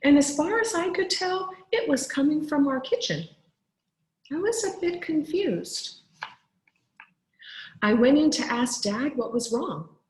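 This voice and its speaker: Unhurried woman teacher in an echoey room. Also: high-pitched, animated. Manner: deliberate, clearly phrased teacher delivery. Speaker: teacher reading aloud to pupils. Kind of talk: expressive storybook read-aloud for children.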